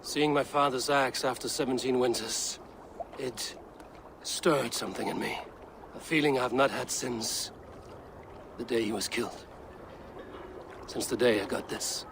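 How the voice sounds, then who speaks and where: An actor voicing a steady male Viking raider hero with a measured, dry-witted delivery in a video game cutscene. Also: mid-range voice, vocal fry, background noise. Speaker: game protagonist.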